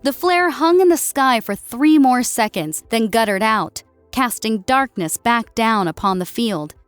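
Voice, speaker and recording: A woman's voice: on the high side, lively intonation, measured pace, clear, resonant tone, no room sound, faint music behind. A woman reading scripted story narration dramatically as an audiobook narrator.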